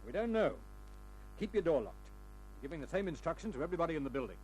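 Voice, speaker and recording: An actor playing a brusque, impatient police sergeant in an old-time radio crime drama. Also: male voice, a little high, sing-song, fast, low-quality recording. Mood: curt, impatient. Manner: curt, impatient. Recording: old radio recording with music behind.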